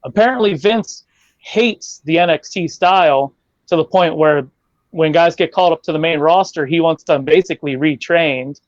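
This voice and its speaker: A man co-hosting a podcast, talking wrestling in an animated, opinionated way and swearing casually. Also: on the high side, moderate pace, dry recording, lo-fi sound. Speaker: podcast co-host.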